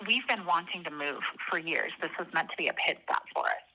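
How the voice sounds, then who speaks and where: A woman's voice: on the low side, fairly quick, sharp tone, highs cut off. A woman calling in to an advice show, hesitant and searching for words as she talks emotionally. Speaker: caller. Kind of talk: phone-in conversation.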